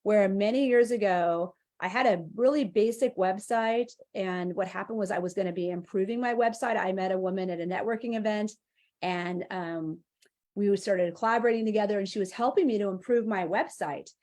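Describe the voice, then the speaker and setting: A woman's voice: medium pitch, normal speed, darkish tone. Earnest woman, spiritual guest. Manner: earnest, heartfelt, flowing run-on phrasing. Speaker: interview guest, angel mentor. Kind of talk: live-streamed spiritual interview.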